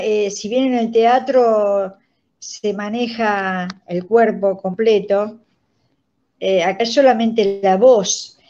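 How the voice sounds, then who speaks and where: Older woman, Argentine accent, explanatory talk, mid-pitched, dull lo-fi video call sound. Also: a little mellow. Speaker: radio drama director, guest. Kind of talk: explanatory conversation. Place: indoor room, on a video call. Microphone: video call device microphone.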